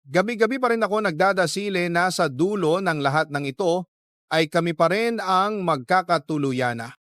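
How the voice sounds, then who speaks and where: Lovelorn narrator, highish clear voice. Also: male voice, lively intonation, normal speed, a bit bright, dry recording, studio quality. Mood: confessional, resigned. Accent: Filipino. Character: lovelorn narrator confessing, fragile-hearted, resigned and hopeful. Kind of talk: first-person radio drama narration.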